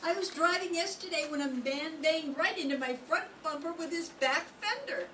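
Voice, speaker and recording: A woman's voice: very high-pitched, moderate pace. An older woman playing a chatty, scatterbrained, oblivious lady in a 1940s-style radio comedy, prattling and gushing. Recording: echoey room from far off, noise.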